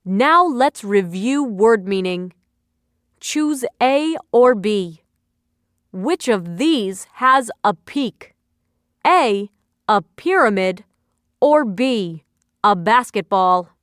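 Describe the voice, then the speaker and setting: A woman with a smooth, crisp, mid-pitched voice leads a scripted lesson review. She speaks slowly with deliberate, instructional phrasing. The sound is dry, with no room echo. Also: expressive, fairly bright tone. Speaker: quiz narrator, instructor. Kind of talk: scripted educational narration.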